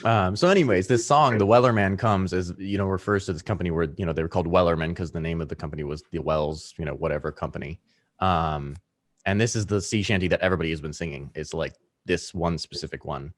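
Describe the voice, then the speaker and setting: A man with a low voice, hosting a casual chat and explaining at length with many fillers and laughs. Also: quick pace.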